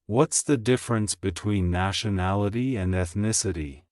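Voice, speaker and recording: Male narrator for a language lesson, lowish voice, dry close recording, careful even delivery. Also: moderate pace, smooth, no room sound. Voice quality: plain, even reading of each sentence, repeated. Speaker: English teacher reading practice sentences. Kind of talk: slow, clear scripted reading for shadowing practice.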